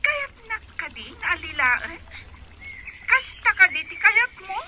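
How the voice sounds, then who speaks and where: Defiant, very high-voiced wife. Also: female voice, very animated, slow, background noise, exaggerated voice. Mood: defiant, sulky. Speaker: radio drama actor. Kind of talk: radio drama acting.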